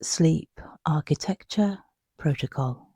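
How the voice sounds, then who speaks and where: Slow, hushed sleep meditation voice. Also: medium pitch, slightly rough, no room sound. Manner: hushed, soothing, unhurried with long pauses. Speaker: meditation guide. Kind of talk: guided sleep meditation narration.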